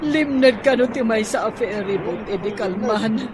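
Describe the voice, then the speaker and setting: A woman's voice: a little high, measured pace, crowd noise, lightly acted. A woman acting as an anxious, fretful older mother in an Ilocano radio drama. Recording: noisy outdoor, engine-like ambience.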